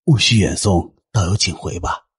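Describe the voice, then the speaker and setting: A man voicing a gentle, courteous, thoughtful Taoist cultivator in an audio drama, speaking formally and deliberately. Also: low-pitched, breathy edge, dry recording, clean studio sound. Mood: polite.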